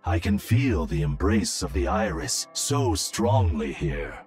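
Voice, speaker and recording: A man voicing a wise, gentle robot monk in a video game, with soft, measured, contemplative delivery and a light robotic filter. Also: on the low side, very animated, husky, faint music behind, full character voice. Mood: reflective.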